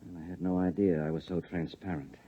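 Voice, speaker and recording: A hard, controlling husband in an old radio play, with a husky mid-range voice. He is clipped and insistent, on a dull 1950s recording. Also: deep voice, level tone, brisk. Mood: grim, persuasive. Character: hard, controlling government husband with grim secrets. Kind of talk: 1950s radio drama acting.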